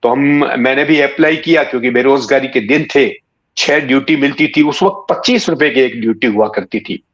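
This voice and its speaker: A man in his sixties from Rajasthan reminisces in a conversational interview, telling stories in an unhurried way with hesitant pauses. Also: medium pitch. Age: 60s. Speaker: interview guest, writer and former cricket commentator. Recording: dull, muffled lo-fi audio.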